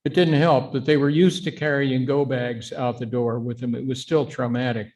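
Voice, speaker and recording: A middle-aged or older man giving an informal, explanatory talk to a congregation in an earnest, measured way. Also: mid-range voice, fairly even intonation, a little mellow. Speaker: guest speaker at a congregation service.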